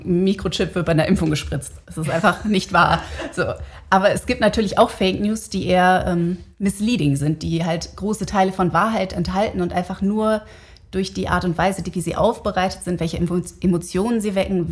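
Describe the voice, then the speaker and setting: A woman with a slightly low voice talks in an interview. She explains things informally, as if thinking aloud. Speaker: interview guest, researcher. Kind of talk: conversational interview answers.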